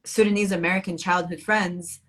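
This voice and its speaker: A woman's voice: on the low side, fairly even intonation, fairly quick, a bit bright. A bilingual Arabic and English speaking woman talking as a guest poet, reflective and informal, with wry humor in a casual literary chat.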